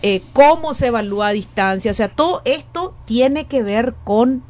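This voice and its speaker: A woman's voice: a little high, animated, moderate pace, darkish tone. A woman in her late forties with a Paraguayan Spanish accent, a guest in an interview who speaks earnestly, repeating and restarting phrases as she thinks aloud. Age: 40s. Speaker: education academic, guest. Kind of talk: conversational interview.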